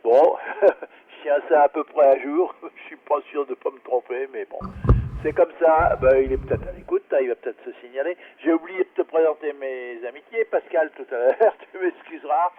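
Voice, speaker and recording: A man's voice: on the high side, moderate pace. A man chatting casually, a little hesitant and self-deprecating, as an amateur operator over a radio link. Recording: phone-like radio link, distant from mic.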